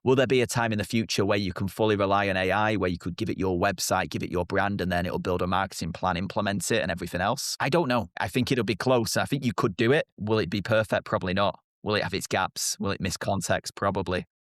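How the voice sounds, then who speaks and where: A man's voice: low-pitched, fairly even intonation, quick pace, hardly any pauses, a bit bright, no room sound, studio quality. A man with a British accent, a marketing agency co-founder, answering interview questions directly and confidently.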